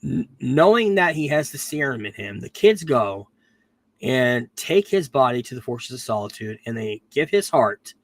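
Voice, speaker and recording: A man's voice: mid-range voice, very animated, moderate pace, no room sound. A man talking casually as a podcast host, rambling through a plot recap with lots of ums and self-corrections, getting over a cold and losing his voice. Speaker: podcast host reviewing a TV series.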